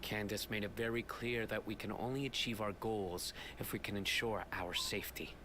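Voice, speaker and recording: An actor playing a stern, principled law enforcer in a video game, measured, formal and serious, with background noise. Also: male voice, fairly low pitch, fairly even intonation, natural voice. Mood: earnest, firm. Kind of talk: video game character acting.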